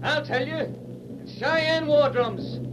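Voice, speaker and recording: An actor playing a defiant, scornful railroad laborer in an old radio western, agitated and rough in his talk. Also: very high voice, slow pace, noisy background. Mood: agitated, alarming. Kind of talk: 1940s radio western acting. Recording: old radio drama with crowd behind.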